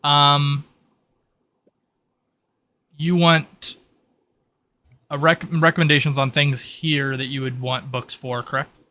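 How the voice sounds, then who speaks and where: A man's voice: on the high side, flat delivery, slow pace, long pauses, sharp tone. A man acting as game master, explaining options in a hesitant, improvised way over an online voice call. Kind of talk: improvised narration of an online tabletop roleplay.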